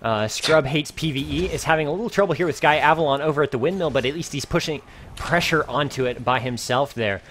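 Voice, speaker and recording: A man casting a live video game match, energetic and climbing in pitch and volume as the fights heat up. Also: fast, a little hiss or hum. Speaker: esports caster. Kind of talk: play-by-play game commentary.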